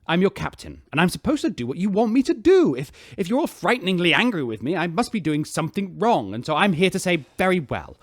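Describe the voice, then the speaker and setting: A man acting as an insecure male spaceship captain in a sci-fi radio drama. His voice runs fairly high and jumps around in pitch, captured dry and close. He makes official statements in a placating, eager-to-please manner. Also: big pitch swings, fast, hardly any pauses, dry recording, heavily acted. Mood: nervous, placating.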